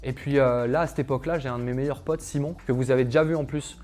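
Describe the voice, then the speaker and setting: A young man talks straight to his viewers in a loose, chatty monologue. He fills it with hesitations and asides. His voice sits at an ordinary middle pitch, and quiet music plays underneath. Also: fairly even intonation, fast talker. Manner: chatty, filler-heavy, addresses viewers directly. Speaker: calisthenics coach. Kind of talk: casual personal story monologue to camera.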